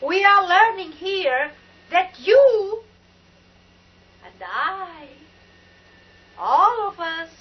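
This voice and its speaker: An older Portuguese-accented woman gives a spiritual talk in a high voice that swoops up and down. She speaks slowly and emphatically. The recording is dull and lo-fi, like an old cable TV tape. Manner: emphatic and exclamatory, drawn-out words. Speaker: spiritual talk show host.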